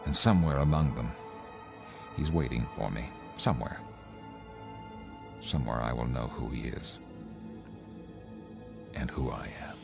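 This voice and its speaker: An actor playing a frightened, unraveling male traveler in a radio play, with a dramatic, halting delivery. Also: extremely low pitch, gravelly, exaggerated voice. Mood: despairing, haunted. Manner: dramatic, halting and stammering when distressed. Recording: music bed behind voice.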